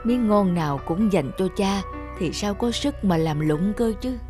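A woman's voice: medium pitch, animated, a little slow, natural voice. A woman voicing a frail, tender old grandfather in a children's cartoon, speaking in an earnest, doting way. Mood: pleading. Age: older. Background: music.

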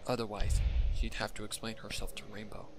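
A man's voice: lowish voice, little pitch movement, a little fast, background noise. A man reading scripted fan-fiction narration as the storyteller. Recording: music loud behind the voice. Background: music.

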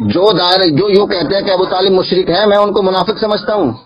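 A male Islamic cleric debating religion over a recorded phone call, insistent and argumentative, pressing his points by repeating them, with a husky voice. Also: sits high, steady tone, fast, a crowd in the background.

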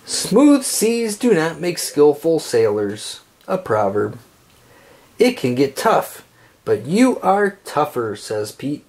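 Higher-pitched man reading aloud with lively intonation. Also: slow pace. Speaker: children's book reader. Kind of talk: read-aloud of a children's picture book.

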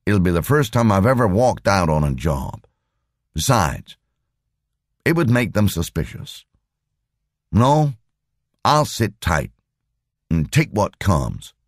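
A weary, uneasy engineer, voiced by a man reading the story aloud. The voice is very deep with lively rises and falls. It sounds close and dry, with no room around it. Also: slow, pauses often, no room sound, exaggerated voice. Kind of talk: audiobook dialogue.

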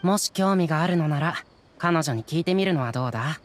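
A man's voice: fairly high pitch, lively intonation, a little fast, close to an everyday voice. An actor playing a chatty, modest, helpful townsman in a Japanese anime game, talking in a casual, informative way.

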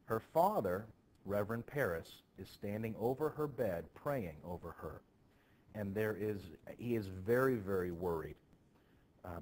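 A man teaching students about a play in an informal, animated storytelling manner. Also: deep voice. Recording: clearly noisy background.

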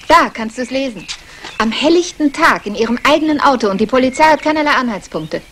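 A woman's voice: high-pitched, lively intonation, fast talker, fairly bright tone, slight background noise. An actress playing an anxious, well-to-do woman in a 1960s German crime radio play. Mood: urgent.

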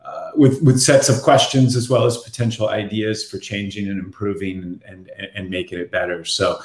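A man's voice: fairly low pitch, steady tone, normal speed. A middle-aged man explaining something casually and in a rambling, self-correcting way on a video call. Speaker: marketing executive on a panel. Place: home room indoors, video call. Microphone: video call, laptop distance. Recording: echoey room over video call.

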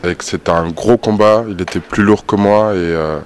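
A man's voice: on the low side, steady tone, normal speed, a little hiss or hum. A man in his twenties, a fighter, speaking plainly in a group interview. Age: 20s.

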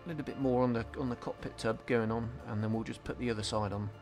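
A man talks through a hobby build in a relaxed, explanatory way, pausing on ums and restarting his sentences as he works. His voice sits at a middle pitch, and soft music plays faintly beneath him. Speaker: scale modelling channel host. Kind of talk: relaxed hobby tutorial voice-over.

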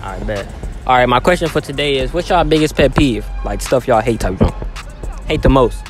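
A man's voice: mid-range voice, animated, a little fast. A young man hosting a casual public interview in a park and a mall, talking into a handheld mic in heavy slang, with music behind him. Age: young adult. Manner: slangy, keeps saying "you know what I'm saying". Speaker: street interviewer, channel host. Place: outdoors in a park and inside a shopping mall. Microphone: handheld microphone. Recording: background music over it.

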